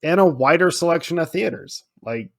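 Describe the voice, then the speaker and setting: A man's voice: fairly high pitch, animated, normal speed, vocal fry, a bit bright. A man co-hosting a podcast, talking casually and thinking aloud as he goes. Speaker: podcast co-host.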